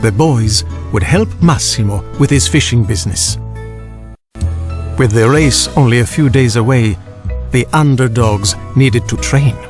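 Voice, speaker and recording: A man's voice: mid-range voice, a little slow, soft background music. A man reading a children's storybook as a scripted audiobook narrator.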